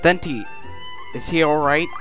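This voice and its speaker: Casting: gameplay commentator, mid-pitched, unhurried and casual, faint game music behind. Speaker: gamer commenting on own playthrough.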